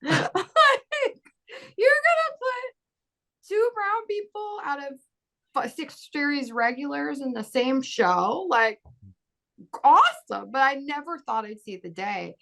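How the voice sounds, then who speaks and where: Animated woman panelist, laughing and quick. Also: high voice, creaky. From grew up in Bahrain. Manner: animated, laughs often, acts out quoted dialogue. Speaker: actor on a panel. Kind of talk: casual panel discussion.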